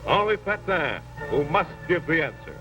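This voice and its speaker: Dramatic 1940s newsreel narrator man over loud music. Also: fairly high pitch, sing-song, moderate pace, recorded from a distance. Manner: solemn, dramatic, declamatory.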